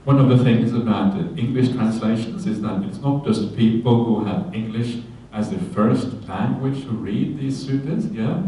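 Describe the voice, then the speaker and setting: A man, a Buddhist monk giving a relaxed dharma talk on a sutta, often checking in with his listeners and adding light humorous asides. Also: mid-range voice, moderate pace, few pauses, a little hiss or hum. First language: Norwegian. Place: retreat hall. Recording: very echoey hall, voice from a distance, faint ambience.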